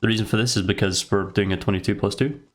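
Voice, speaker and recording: A man with a fairly low voice talks through a strategy game step by step in a casual tutorial style. He sounds congested from a cold. The recording is clearly noisy, with keyboard typing and clicks behind him. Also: fairly even intonation, fast. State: stuffy nose from a cold. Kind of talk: casual step-by-step gameplay commentary. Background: keyboard typing and mouse clicks.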